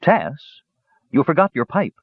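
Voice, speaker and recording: A man voicing a kind, steady male half-elf who speaks gently to his companions. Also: a little high, a little slow, gravelly, slightly rough, no echo, voice pushed up, heavily acted. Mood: gentle. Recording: dull dry recording.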